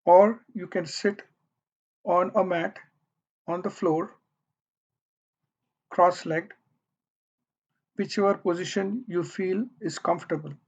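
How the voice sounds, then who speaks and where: A meditation guide speaking gently and deliberately, leaving long pauses between short phrases. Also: mid-range voice, steady tone, breathy edge. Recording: dull, muffled lo-fi audio.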